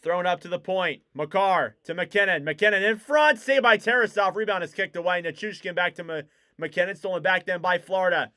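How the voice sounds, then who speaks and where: A young man doing live play-by-play for a hockey stream, excitable and rising to shouts on big plays, his voice wearing out. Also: sits high, fairly quick. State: losing his voice. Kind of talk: play-by-play commentary.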